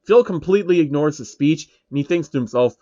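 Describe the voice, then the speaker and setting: Male narrator, casual and irreverent comedic reading; slightly high pitch, dry close sound. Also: animated, brisk, warm tone, no echo, highs cut off.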